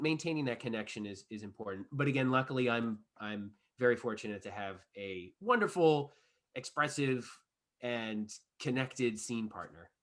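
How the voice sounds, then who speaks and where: Male actor being interviewed, crisp bright edge, average pitch, conversational and considered. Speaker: stage actor. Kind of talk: casual interview chat.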